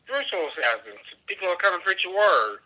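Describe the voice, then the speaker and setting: A man speaks quickly over a phone line in a very bright, tense voice. He argues defensively, repeating his denials insistently as a caller. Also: high voice, sing-song. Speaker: caller, church deacon.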